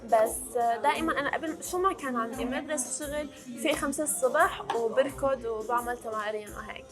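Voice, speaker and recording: A woman's voice: on the high side, quick pace, music in the background. A woman in her early twenties with a Levantine Arabic accent, chatting casually to camera as a vlog host and slipping in English words. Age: early 20s.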